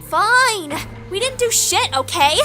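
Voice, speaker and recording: A woman's voice: very high voice, lively intonation, slow, over music, full character voice. A woman playing a foul-mouthed, mocking teenage schoolgirl bully in an anime-style audio story, snappy and defiant. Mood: irritated, defensive.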